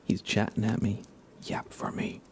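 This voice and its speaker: A young man softly murmuring on an ASMR gaming livestream in an unhurried, casual way, often close to a whisper, near the mic. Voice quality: soft, hushed, often slipping into breathy whisper. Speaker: livestream host.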